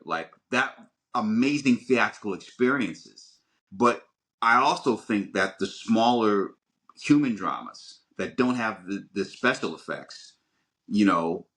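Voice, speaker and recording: A man's voice: lowish voice, fairly bright tone. A man co-hosting a podcast, giving opinions on films in an emphatic, rambling way with frequent restarts. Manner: emphatic, halting and rambling with repeated starts. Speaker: podcast co-host. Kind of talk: casual opinionated film chat.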